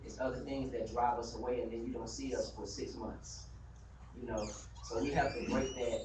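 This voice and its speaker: Earnest man in an echoey mosque hall. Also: mid-range voice. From United States. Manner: earnest, conversational, frequent "you know". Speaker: community member speaking at a mosque gathering. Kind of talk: impassioned open discussion. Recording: echoey hall from far off, noisy live stream.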